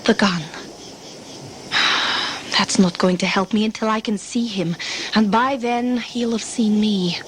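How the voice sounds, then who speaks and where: A woman playing a resourceful, scheming survivor in a sci-fi audio drama, talking in a deliberate, self-addressed mutter. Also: medium pitch, fairly even intonation, close to an everyday voice. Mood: calculating. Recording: noisy ambience behind the voice.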